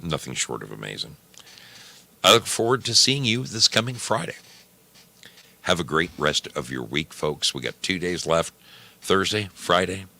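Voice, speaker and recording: A man's voice: sits low, big pitch swings, normal speed, gravelly, long pauses, sharp tone. A man hosting a radio program, talking casually and in a folksy, familiar way to his listeners. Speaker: host of an old time radio program.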